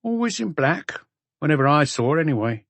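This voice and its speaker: A weary, blunt hotel keeper, acted by a male narrator for a crime audiobook. The voice is pitched up from a low register and sounds close and dry, answering in short, gruff phrases. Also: sing-song, brisk, fairly bright tone, no echo, full character voice. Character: unshaven, tired, gruff male hotel owner.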